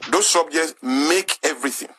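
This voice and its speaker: A man's voice: fairly high pitch, normal speed, bright, forceful tone, husky. A man with a Nigerian English accent making an impassioned talk-show argument, emphatic and rhetorical, repeating his key phrases. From southwest Nigeria.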